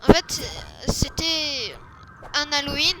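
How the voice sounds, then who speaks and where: A woman's voice: sits high, slow, over a noisy crowd. A woman telling her character's backstory during a game roleplay, hesitating often with fillers and restarts. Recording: loud background noise.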